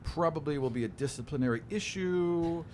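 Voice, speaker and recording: A man's voice: on the high side, brisk, a little mellow, a little hiss or hum, voice pushed up, lightly acted. A man playing a fussy, watchful inquisitor in a tabletop game, speaking in a hesitant, officious way. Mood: officious, wary. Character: fussy bureaucratic male inquisitor, watchful, matter-of-fact.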